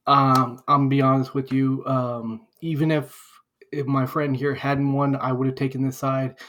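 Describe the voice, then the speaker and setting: A man's voice: medium pitch, flat delivery, normal speed, fairly bright tone. A man co-hosting a podcast, arguing in a casual comedic debate with impassioned, profane ranting. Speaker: podcast co-host.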